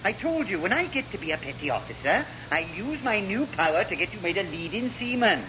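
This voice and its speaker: Scheming welsh navy rating, high excitable pitch, conspiratorial, noisy old radio comedy. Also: male voice, a little fast, dull audio. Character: scheming, ambitious Welsh naval rating, gleefully vengeful. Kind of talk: classic British radio sitcom acting. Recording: noisy, band-limited vintage radio recording.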